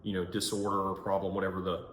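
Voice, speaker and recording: A man's voice: low-pitched, completely flat delivery, quick pace, a bit bright. A man hosting a podcast and interviewing a guest in a casual, conversational way. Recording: echoey room, clear background noise.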